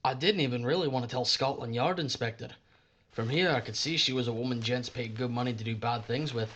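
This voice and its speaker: A man's voice: medium pitch, brisk, fairly bright tone, highs cut off, close to an everyday voice. Man acting a deferential working-class British station hand. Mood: shaken, sombre. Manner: colloquial, respectful. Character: deferential, plain-spoken male underground station worker, uneasy. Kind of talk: audio drama acting.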